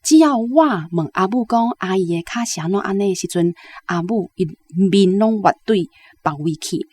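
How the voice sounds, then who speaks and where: 一位台灣口音的女性文學評論者，以聊天口吻分享讀書感想。. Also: female voice, mid-range voice, lively intonation, normal speed, darkish tone, breathy edge. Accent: Taiwanese. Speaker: literary commentator. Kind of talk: conversational book commentary.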